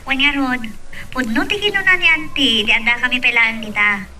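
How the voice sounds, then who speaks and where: A woman's voice: on the high side, fairly quick, pushed and bright. A woman playing an earnest, hopeful young office clerk in an audio love drama, speaking with an Ilocano accent. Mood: chatty, upbeat. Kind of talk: Ilocano radio-style love drama acting. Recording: distant mic with faint noise.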